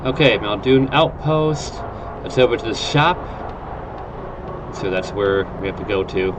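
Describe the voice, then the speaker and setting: A man's voice: fairly low pitch, expressive, measured pace, background noise. A man with a nasal, stuffed-up voice from a cold, rambling through casual gameplay commentary. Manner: rambling, lots of uh fillers. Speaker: game streamer.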